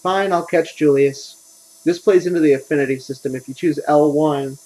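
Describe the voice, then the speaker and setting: A man's voice: a little high, moderate pace, mellow, poor audio. A man giving casual, wry, grumbling gameplay commentary as he plays. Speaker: game streamer.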